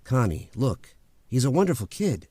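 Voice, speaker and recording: A man's voice: medium pitch, big pitch swings, moderate pace, dark tone, breathy edge, dry recording, clean studio sound. A man acting in an old-time radio drama as a breezy, blunt young suitor with a wry, plain-spoken manner. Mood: frank, earnest.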